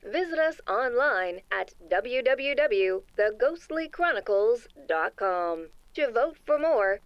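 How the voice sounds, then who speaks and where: A fairly high-voiced woman announcer reads a scripted podcast sign-off. The recording is dry and slightly dull. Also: dry recording.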